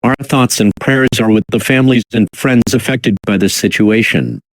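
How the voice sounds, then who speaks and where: A man reading a scripted emergency alert as an announcer, in a measured, official, impersonal manner. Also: medium pitch, dry recording, poor audio. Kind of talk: formal scripted emergency broadcast.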